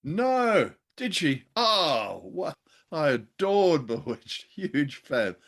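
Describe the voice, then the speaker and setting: A man's voice: on the high side, sing-song, extremely slow, gravelly, fairly bright tone. An older man co-hosting a casual radio review chat, speaking in an effusive, exclamatory way. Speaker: co-host of an old-time radio review show.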